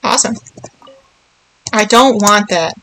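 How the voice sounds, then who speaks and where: A woman's voice: mid-range voice, slow pace, sharp tone. A woman giving casual, playful video game commentary, thinking aloud and murmuring little nonsense words to herself as she plays.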